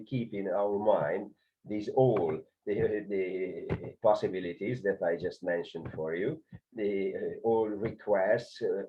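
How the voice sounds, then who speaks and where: A man's voice: fairly low pitch, slight background noise. A man with a Hungarian accent explaining conducting in a video lesson, hesitant, with many filler sounds and restarts. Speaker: conducting teacher. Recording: dull lo-fi sound.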